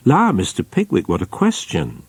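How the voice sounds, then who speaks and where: A man's voice: deep voice, wildly swooping pitch, moderate pace, husky, no echo, exaggerated voice. A man voicing a middle-aged widowed landlady in an audiobook, coy, gushing and adoring. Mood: coy surprise.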